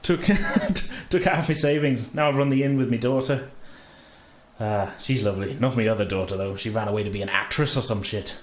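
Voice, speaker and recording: A man's voice: medium pitch, normal speed, echoey room, slight background noise, close to an everyday voice. A man voicing a hapless dwarf innkeeper who tells meandering, good-humoured anecdotes. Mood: rambling, rueful.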